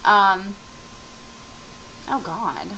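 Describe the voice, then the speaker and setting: A woman's voice: mid-range voice, animated, drawn-out words, bright, forceful tone, dull audio, slight background noise. A young woman vlogging at home, rambling casually to her camera about packing and personal things. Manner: chatty, rambling, loose and informal. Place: home room among moving boxes.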